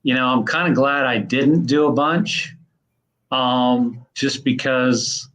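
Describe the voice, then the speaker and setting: A man's voice: medium pitch, fairly even intonation, slow, a bit bright, poor audio. A man in his mid-fifties co-hosting a casual chat, easygoing and emphatic. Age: 50s.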